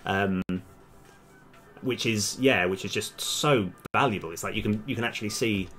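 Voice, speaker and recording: A man's voice: on the low side, normal speed, a bit bright. A man chatting casually on a live coding stream, hesitant as he thinks aloud and trails off. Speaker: live-streaming game developer. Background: music, keyboard typing.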